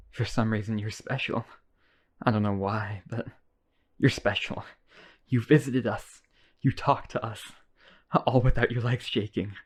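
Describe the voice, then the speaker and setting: Casting: male actor as devoted yandere vampire, low register, earnest coaxing delivery, intimate roleplay audio. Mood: pleading devotion. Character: lonely, obsessive vampire brother, pleading devotion. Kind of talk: boyfriend ASMR roleplay audio drama.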